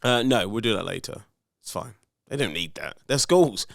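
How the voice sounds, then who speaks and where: A man's voice: lowish voice, animated, moderate pace, creaky, no room sound, studio quality. A man of about forty, co-hosting a podcast with casual, teasing banter. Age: around 40. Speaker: podcast co-host.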